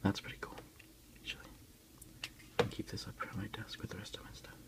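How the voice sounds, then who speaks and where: A man softly whispering in a breathy voice as he casually hosts an ASMR unboxing, unhurried and a little hesitant, recorded on a phone. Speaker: ASMR video host.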